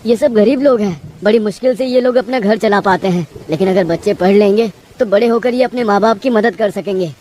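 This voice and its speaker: A kind male village schoolteacher, acted by a woman, speaks earnestly at a middling pitch. It is caring cartoon story dialogue with a faint noise underneath. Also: fairly quick, hardly any pauses, mellow, lightly acted. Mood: earnest pleading.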